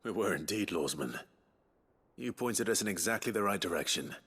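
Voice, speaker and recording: An actor playing a brooding young warrior in a game cutscene, gentle with his hound, with a British accent. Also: low-pitched, animated, normal speed, slightly rough, exaggerated voice. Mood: calm, earnest. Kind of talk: video game cutscene acting. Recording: background music under the dialogue.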